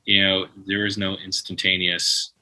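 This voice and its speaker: Bright-voiced man telling a story. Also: low voice, normal speed, low-quality recording. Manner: animated storyteller with frequent restarts, mimics phone tones. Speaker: guest musician. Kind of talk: casual podcast interview.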